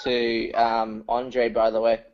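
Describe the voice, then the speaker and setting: A man's voice: medium pitch, completely flat delivery, slow pace. A man chatting in a bashful, playful way on a casual show, on a muffled lo-fi recording. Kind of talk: casual web show chat.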